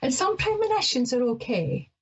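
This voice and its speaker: A woman's voice: high-pitched, wildly swooping pitch, moderate pace, slightly rough. A middle-aged woman with an Ayrshire Scottish accent hosting a live radio show, chatting nervously with lots of fillers. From Scotland. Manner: nervous, warm-hearted rambling with many fillers. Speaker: radio show host, psychic. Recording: dull lo-fi broadcast sound.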